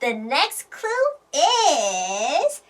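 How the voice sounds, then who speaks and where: A woman's voice: sits high, very animated, extremely slow, a bit bright. A woman hosting a playful children's English storytime, with exaggerated, sing-song delivery, repeating words for young learners.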